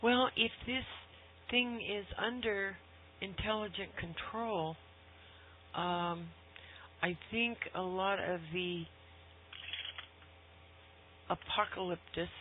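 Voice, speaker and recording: A woman hosting a casual talk radio conversation, speaking haltingly with thoughtful pauses. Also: mid-range voice, steady tone. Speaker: radio show host. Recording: tinny phone-like line, humming noise.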